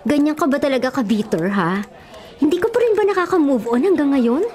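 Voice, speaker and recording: A woman's voice: high-pitched, animated, fairly quick, a little mellow. An actress playing a candid, nagging woman giving concerned advice in a Filipino radio drama, questioning and admonishing. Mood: chiding, persuasive.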